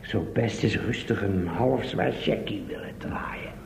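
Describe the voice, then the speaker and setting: A man's voice: lowish voice, measured pace, vocal fry, darkish tone, whispered. An actor playing a cheeky, grumbling handyman sidekick in a Dutch radio play, muttering in a wry, colloquial way. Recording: dull, roomy old radio recording, faint noise.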